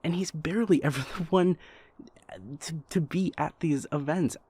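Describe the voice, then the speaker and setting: A man playing an anxious, exasperated young vampire prince in an intimate audio roleplay, speaking in a halting, stammering way. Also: on the high side, dark tone, breathy edge. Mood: exasperated, baffled. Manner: halting, stammering, venting, sighing pauses.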